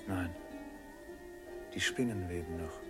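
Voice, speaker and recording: Deep, brooding merchant. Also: male voice, takes it slowly, loud music behind the voice. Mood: brooding, haunted. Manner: grave, fatalistic. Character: brooding, haunted merchant who foresees his doom. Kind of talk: German radio play acting.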